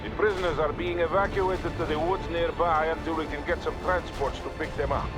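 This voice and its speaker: A man's voice: fairly high pitch, fairly even intonation, a little fast, over music, close to an everyday voice. A man playing a stern, composed Soviet field commander with a Russian accent, giving clipped, formal orders in a military video game. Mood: grave resolve. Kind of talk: scripted video game military radio dialogue. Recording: loud battle noise behind. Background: artillery fire, explosions and gunfire.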